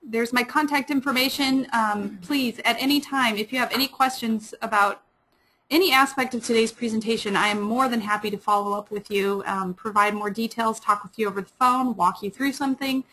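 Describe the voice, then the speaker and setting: A woman with a Midwestern accent presents a webinar, speaking quickly and stopping often on fillers and restarts. Her voice sits slightly high. She sounds like a librarian walking an audience through her slides. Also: steady tone, a bit bright, rough recording. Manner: fast-talking, many fillers and restarts. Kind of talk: webinar lecture.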